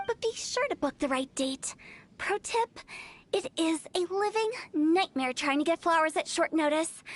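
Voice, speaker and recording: A woman voicing a quirky, playful teenage girl funeral director in a video game, glib and theatrical with a morbid cheer. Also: very high-pitched. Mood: playfully morbid. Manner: theatrical, glib sales patter. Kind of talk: animated video game voice acting. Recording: game music behind the voice.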